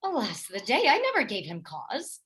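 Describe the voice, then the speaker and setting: A woman playing an earnest, distressed young noblewoman and loyal wife in a Shakespearean radio play, with a husky voice. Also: fairly high pitch, wildly swooping pitch, normal speed, fairly bright tone, full character voice. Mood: anxious, gentle.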